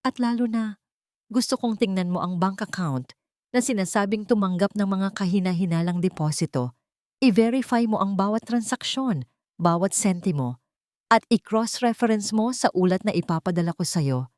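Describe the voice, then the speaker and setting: A woman voicing a suspicious, commanding billionaire businessman in an audio drama, measured and probing. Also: medium pitch, darkish tone, dry recording, clean studio sound, pitched above the performer's own voice, lightly acted. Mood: firm, secretive.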